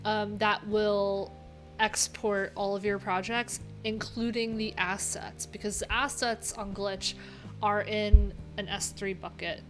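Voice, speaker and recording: A woman's voice: mid-range voice, flat delivery, slow pace. Casual American woman livestreamer, 30s, wry and rambling, mid-range voice, music underneath. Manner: rambling, wry, informal with swearing. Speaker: livestream host. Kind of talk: casual livestream chat while reading docs. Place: small cluttered room indoors. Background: background music.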